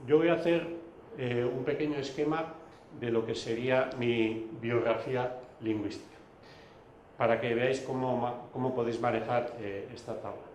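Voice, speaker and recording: A man whose first language is Basque, giving a recorded video lecture, pausing often with fillers and restarts. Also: mid-range voice, fairly even intonation, darkish tone, reverberant, a little hiss or hum. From Basque Country. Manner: hesitant, many fillers and restarts.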